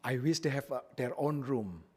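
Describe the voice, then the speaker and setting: A man originally from Indonesia presenting his business plan at a public hearing, speaking plainly with frequent pauses and fillers into a podium microphone in a council chamber. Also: mid-range voice, lively intonation, darkish tone, slightly rough.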